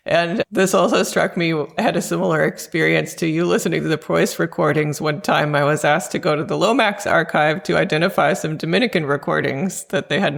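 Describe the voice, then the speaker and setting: A woman's voice: low voice, creaky. A woman interviewing as a fellow researcher, conversational and given to telling anecdotes.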